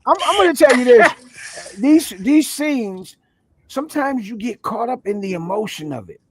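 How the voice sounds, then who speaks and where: High-voiced, rambling American man. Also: moderate pace, husky, low-quality recording. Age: middle-aged. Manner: animated, rambling, long-winded. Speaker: stage play cast member, guest. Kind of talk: casual interview chat.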